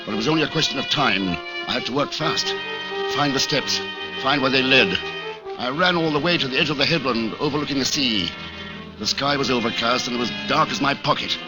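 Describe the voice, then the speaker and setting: A man's voice: medium pitch, a little fast, close to an everyday voice, rough recording. Casting: male actor as fugitive hero-narrator, mid-range voice, tense old radio drama delivery, music underneath. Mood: urgent. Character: hunted hero narrating his tense spy adventure. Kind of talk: 1940s radio acting, dramatic first-person narration.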